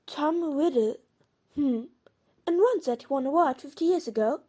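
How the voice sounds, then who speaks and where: A woman's voice: high voice, animated, moderate pace, dark tone. A woman playing an earnest, clever and careful schoolgirl witch in an audio drama, inquisitive and reasoning aloud. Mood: curious, deducing.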